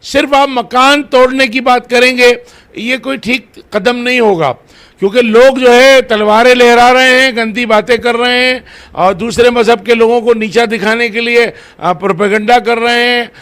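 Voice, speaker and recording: A man answering interview questions as head of a religious organisation, deliberate and formally worded. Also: very high voice, steady tone, a bit bright, smooth.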